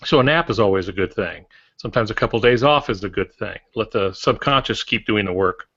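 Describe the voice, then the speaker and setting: A man's voice: fairly low pitch, animated, brisk, fairly bright tone. A man in his sixties co-hosting a podcast, explaining things in casual talk. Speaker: podcast co-host, professional writer. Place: room lined with shelves of typewriters. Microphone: earbuds on a video call. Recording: dull video-call audio.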